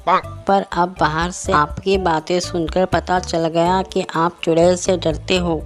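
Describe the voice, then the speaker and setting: A woman acts a crafty fox in an animated jungle tale. The voice sits a little low and takes its time, sounding knowing and wheedling like a scheming adviser. Faint music runs underneath. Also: slow. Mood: mocking. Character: sly, bargaining male fox advising the lion king. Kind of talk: Hindi animated moral story dialogue.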